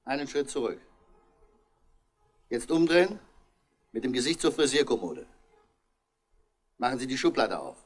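A man's voice: mid-range voice, very animated, normal speed, pauses often. A man playing a stern, accusing police inspector in a 1960s radio crime drama, giving clipped, authoritative commands. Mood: commanding.

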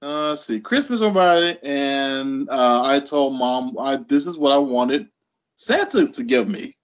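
A man in his late thirties, a guest on a podcast, telling stories with animated energy and chuckling between thoughts. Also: mid-range voice, a little slow. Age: late 30s. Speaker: guest writer. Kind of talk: casual interview storytelling. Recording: dull lo-fi audio.

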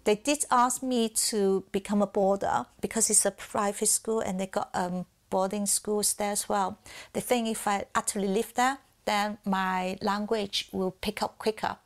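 A woman's voice: medium pitch, steady tone, measured pace. A middle-aged woman from southern China with a Chinese accent, telling her life story candidly in an interview. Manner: candid, reflective, laughs softly now and then. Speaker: musician.

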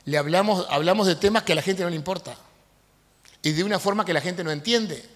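A middle-aged man giving an animated conference lecture on a handheld mic in a large university auditorium, with fillers and restarts. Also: high-pitched, a little fast. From Uruguay. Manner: animated, gestures while speaking, frequent fillers and restarts. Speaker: lecturer and politician. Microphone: handheld microphone. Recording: long hall reverb.

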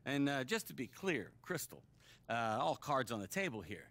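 An actor playing an eager, fussy American sports agent in a video game cutscene, wordy and stammering when worked up. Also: male voice, medium pitch, normal speed. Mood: smooth, businesslike. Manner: wordy, stammering, over-excitable salesman.

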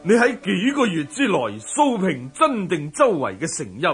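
A man's voice: sits high, very animated, moderate pace, faint music behind. A man with a Hong Kong Cantonese accent playing a commanding, hearty Mongol warlord in a radio drama. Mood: delighted, laughing. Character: exultant Mongol warlord, commanding king of the army.

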